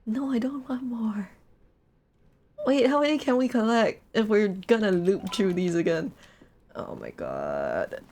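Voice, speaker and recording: A woman's voice: mid-range voice, sing-song, measured pace, darkish tone. A woman livestreaming a game, musing aloud and reacting with exasperation in casual commentary.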